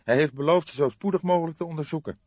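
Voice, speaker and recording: A man's voice: fairly high pitch, racing, darkish tone, dry recording, highs cut off, pitched above the performer's own voice, close to an everyday voice. A man voicing a younger male police detective sidekick, nonchalant, eager and matter-of-fact, in an audiobook. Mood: nonchalant reporting.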